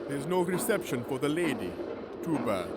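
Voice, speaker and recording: An actor playing a sceptical traveller in an audio drama. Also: male voice, a little high, sing-song, moderate pace. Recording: very noisy battle effects behind.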